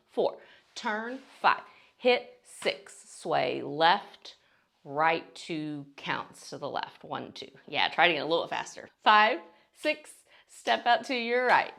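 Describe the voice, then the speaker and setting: Lady dance teacher counting out steps, encouraging, bit of a gravelly fry in her voice. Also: medium pitch, fairly bright tone. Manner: counting beats aloud between instructions. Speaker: dance instructor. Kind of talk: beginner step-by-step dance tutorial.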